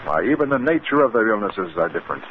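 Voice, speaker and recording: An American actor playing an alarmed, obsessive male psychiatrist in a 1950s radio drama, speaking gravely and urgently. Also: on the low side, big pitch swings, fast talker. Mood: frightened, urgent. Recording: scratchy, narrow-band 1950s radio recording.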